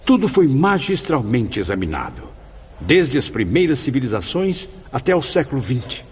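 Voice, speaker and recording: A man's voice: mid-range voice, big pitch swings, normal speed, husky. An actor playing an earnest male spirit with a Brazilian accent, recounting his afterlife studies in a formal, reflective radio drama style. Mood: awed, reflective. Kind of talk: radio drama narration in character.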